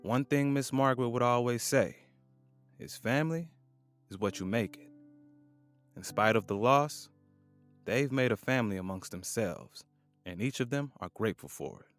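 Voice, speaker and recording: A man narrating an audio drama in a measured, solemn way. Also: mid-range voice, soft background music.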